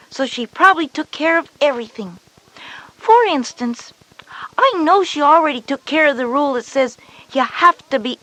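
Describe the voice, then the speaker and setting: A woman's voice: high voice, a bit bright, low-quality recording. A woman voicing a reassuring schoolgirl older sister who patiently explains things in an educational story tape. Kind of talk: scripted educational audio drama.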